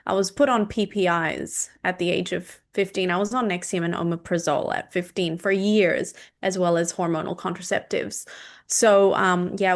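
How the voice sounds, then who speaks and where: A woman in her thirties, a nutritionist and naturopath from Indonesia, talking through her own health history in a podcast interview, steady and measured with frequent ums. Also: on the low side. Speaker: guest, clinical nutritionist and naturopath. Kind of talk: podcast interview, personal story.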